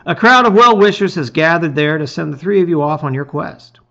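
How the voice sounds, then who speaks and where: Animated man dramatically reading a storybook. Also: a little high, a little fast, creaky, muffled recording. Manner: theatrical, emphatic phrasing. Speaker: host reading a gamebook aloud.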